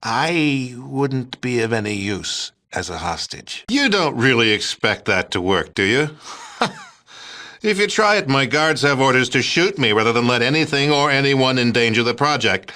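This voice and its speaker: Casting: smug, folksy American head of state for a game, middle-aged, self-important and declamatory, sharp forceful tone. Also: male voice, medium pitch, very animated, normal speed. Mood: defiant. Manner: pompous, declamatory, hesitant stammering when cornered. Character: pompous, folksy, smug middle-aged male politician, president. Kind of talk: video game dialogue acting.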